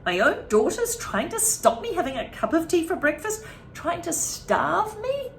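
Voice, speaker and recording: A woman voicing a greedy, bossy, enormous old grandmother who shouts and scolds in a storybook reading. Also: very high voice, very animated, moderate pace, slight background noise, pitched above the performer's own voice, full character voice. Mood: indignant. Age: older. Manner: shouting, scolding, self-pitying.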